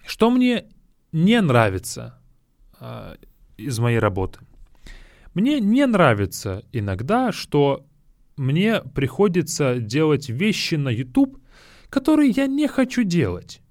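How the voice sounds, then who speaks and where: Lively Russian man teaching slowly. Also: a little high, dry recording, clean studio sound. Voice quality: speaks slowly and repeats words to explain them. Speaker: Russian teacher and podcast host. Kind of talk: slow teaching podcast, Russian with English glosses.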